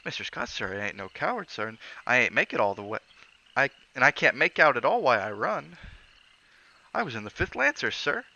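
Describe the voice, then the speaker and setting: A man's voice: medium pitch, sing-song, fairly quick, vocal fry, pushed and bright, voice pushed up, exaggerated voice. A man voicing a young, nervous male bellboy with a Cockney accent who says "sir" constantly and drops his g's. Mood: uneasy. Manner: dropped g's, "sir" after every phrase. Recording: dull lo-fi audio.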